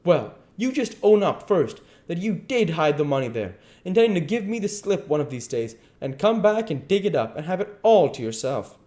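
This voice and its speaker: Man as a scornful, domineering swindler, animated and high. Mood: furious. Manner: brisk, scolding, folksy rural speech. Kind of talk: dramatised audiobook reading.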